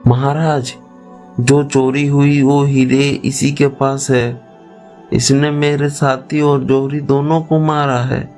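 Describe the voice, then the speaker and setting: A man plays a threatening thief in a cartoon village tale. He talks slowly and coldly in a medium-pitched voice, with faint music behind him. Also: little pitch movement, darkish tone, natural voice. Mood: accusing. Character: scheming, threatening male thief and killer. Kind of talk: cartoon moral-story acting.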